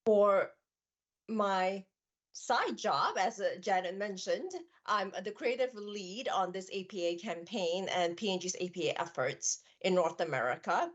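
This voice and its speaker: A woman from Malaysia introducing herself on a panel in a warm, personal way. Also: medium pitch, unhurried, lo-fi sound. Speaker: brand director, panelist. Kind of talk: panel discussion.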